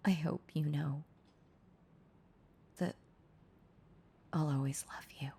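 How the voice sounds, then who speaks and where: A woman playing a heartbroken, tender former girlfriend in an intimate audio roleplay, confessing haltingly in a breathy, husky voice that slips into whispers. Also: low-pitched, fairly even intonation, warm tone, full character voice. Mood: tender, bittersweet. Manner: halting, confessional, repeating words, breaking into whispers.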